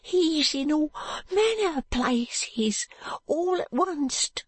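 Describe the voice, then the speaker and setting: Woman putting on a scared little street kid, high cracky teary voice, dropped words, real slow. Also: dry recording. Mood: frightened. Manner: high cracked crying voice, slurred street speech. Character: frightened ragged street boy, wary and pitiful.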